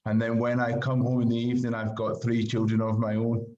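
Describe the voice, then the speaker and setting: A man's voice: mid-range voice, completely flat delivery, brisk, darkish tone. Scottish man on dull, lo-fi audio. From Glasgow, Scotland. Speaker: interview guest, writer. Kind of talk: casual interview answers.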